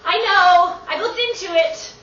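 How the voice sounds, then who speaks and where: A woman's voice: very high voice, a little slow, a bit bright. A woman playing an indignant, suspicious, self-righteous small-time water farmer in a comic stage play. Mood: scornful, challenging. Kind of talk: broad comic stage acting. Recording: distant mic in echoey theatre, dull, faint noise.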